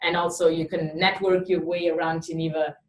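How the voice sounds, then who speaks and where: A woman with a lowish voice talks through a summer law program in an encouraging, informative way. She is heard from some way off the mic in an echoey room. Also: flat delivery, a little fast, a little hiss or hum. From Switzerland. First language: not English. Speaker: program representative. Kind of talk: promotional interview. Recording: roomy, from a distance.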